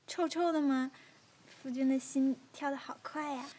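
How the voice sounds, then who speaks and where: A woman's voice: high-pitched, steady tone, normal speed, a little mellow, lightly acted. A woman voicing a coy teenage concubine who is sweet, wheedling and playfully scheming, in an audio drama. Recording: background music under the voice.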